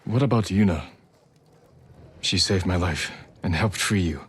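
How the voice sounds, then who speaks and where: An actor playing an earnest, brooding, loyal samurai warrior in a game cutscene, with a restrained, serious delivery. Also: male voice, low-pitched, unhurried, a bit bright, breathy edge, full character voice. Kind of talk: dramatic game cutscene acting.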